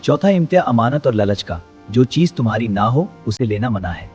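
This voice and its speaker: A man's voice: mid-range voice, big pitch swings, darkish tone, close to an everyday voice. Man voicing a solemn wise owl guardian, slightly muffled. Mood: solemn, welcoming. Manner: measured, instructive. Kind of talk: children's moral cartoon story acting. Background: music.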